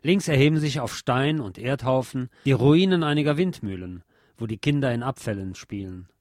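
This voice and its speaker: A man reading a literary text aloud with expressive, emphatic delivery. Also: mid-range voice, normal speed, no room sound, clean studio sound.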